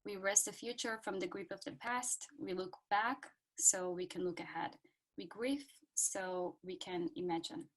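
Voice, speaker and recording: A woman from Israel with an Israeli accent, speaking in a reflective, hesitant way on a video call and pausing often with ums. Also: mid-range voice, poor audio. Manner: hesitant, many ums, reads a quote aloud. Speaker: guest speaker.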